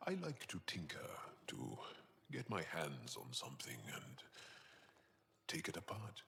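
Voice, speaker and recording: An actor playing a guarded, earnest male alien freedom fighter in a video game, speaking haltingly and choosing his words with care. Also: deep voice, huge pitch swings, creaky, pushed and bright, long reverb tail, a little hiss or hum, heavily acted. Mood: reserved, wry. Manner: halting, deliberate, searching for words.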